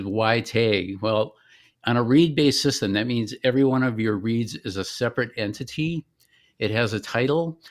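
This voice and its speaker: A man giving an informal screen-share demonstration as the presenter of a software product. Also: mid-range voice.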